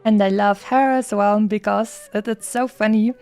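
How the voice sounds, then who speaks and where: A woman's voice: mid-range voice, steady tone, normal speed. A woman game streamer giving casual, enthusiastic commentary in a rambling, hesitant way. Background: soft game music.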